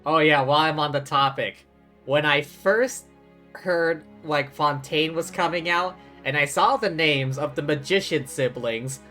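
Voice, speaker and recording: A man's voice: mid-range voice, sharp tone. A man giving casual, wry live commentary as he streams a video game, with game music behind him. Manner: wry, sarcastic.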